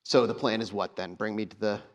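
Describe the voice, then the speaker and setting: A man's voice: on the low side, steady tone, a little fast, slight background noise, close to an everyday voice. A man voicing a defeated vampire captive, bitter and pleading, in a tabletop game. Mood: resigned, bitter.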